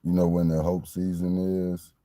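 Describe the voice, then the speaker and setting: A man's voice: sits low, completely flat delivery, normal speed, mellow, no echo. A man in his late forties from Miami, talking casually and repetitively about his football team. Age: 40s. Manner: repetitive, meandering, conversational. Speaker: sports fan commentator. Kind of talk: casual sports talk monologue.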